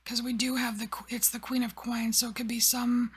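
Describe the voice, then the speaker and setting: A woman giving a whispered tarot reading, rambling softly with many fillers. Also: a little high, flat delivery, brisk. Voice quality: breathy. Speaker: tarot reader.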